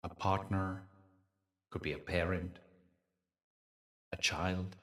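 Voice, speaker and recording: A man with a low-pitched voice guides a meditation very slowly and deliberately. He leaves pauses between phrases in a soothing, teacherly way. The microphone sounds some distance away. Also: little pitch movement, fairly bright tone.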